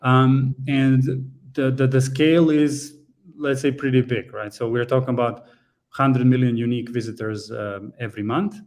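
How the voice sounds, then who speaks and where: A man from Serbia giving an online meetup talk as a guest engineer, pausing often with fillers and restarting his sentences. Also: mid-range voice, steady tone, darkish tone. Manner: hesitant, many fillers and restarts. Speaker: guest speaker, frontend engineer. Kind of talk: conference talk presentation.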